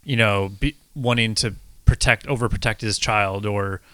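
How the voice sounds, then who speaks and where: A man co-hosting a podcast in casual chat, earnest and halting as he thinks aloud. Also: on the low side, a bit bright, smooth, no echo. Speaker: podcast co-host.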